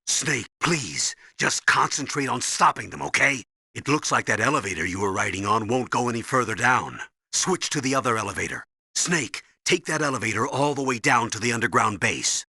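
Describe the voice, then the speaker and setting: An actor playing a stern older colonel in a video game, briefing and giving orders with a husky, commanding voice. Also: male voice, medium pitch, expressive, normal speed, pushed and bright, no room sound, studio quality, full character voice. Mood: urgent, commanding. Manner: commanding, urgent, instructive.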